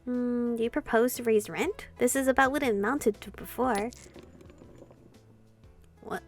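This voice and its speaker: A woman hosting a gameplay channel, reading dialogue aloud and commenting in an expressive way, free with swearing. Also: a little high, measured pace. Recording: game music behind.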